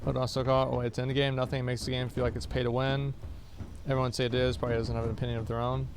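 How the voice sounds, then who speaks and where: A man's voice: mid-range voice, flat delivery, quick pace. A young man talks casually into a desk mic while he plays a game on stream. He answers viewers' questions bluntly, wandering off mid-thought and circling back. A faint background hum sits under his voice. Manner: rambling, blunt, reads out and answers chat questions. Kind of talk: casual chat with livestream viewers while gaming.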